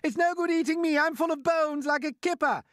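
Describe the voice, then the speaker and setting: A man voicing a fearful earthworm in a children's storybook, loudly and plaintively protesting. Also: very high voice, brisk, no room sound, studio quality, pitched above the performer's own voice. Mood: alarmed protest.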